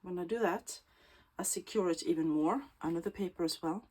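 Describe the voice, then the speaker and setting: A woman with a Swedish accent, Swedish native, talking through a bookbinding tutorial in a slow, thoughtful way that often drops to a soft whisper while she works. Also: on the low side, slightly rough. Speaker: bookbinding crafter. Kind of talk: casual craft tutorial.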